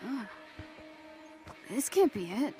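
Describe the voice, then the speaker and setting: Earnest, higher-voiced teenage girl. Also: sing-song, slow, dark tone, faint music behind, heavily acted. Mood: incredulous. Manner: earnest, pleading. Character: earnest, determined teenage superhero fan girl. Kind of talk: video game cutscene acting.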